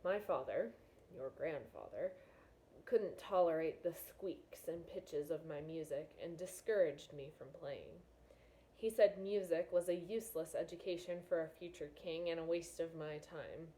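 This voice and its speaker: Reserved, wistful royal father read by a woman, lowish voice, measured, reflective storybook dialogue. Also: dark tone, poor audio. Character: reserved middle-aged king and father, wistful, awkward.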